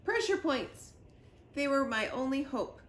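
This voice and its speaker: A woman's voice: high-pitched, sing-song, measured pace, fairly bright tone. A woman reading a children's story aloud expressively, as a library storyteller. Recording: roomy echo with clear background noise.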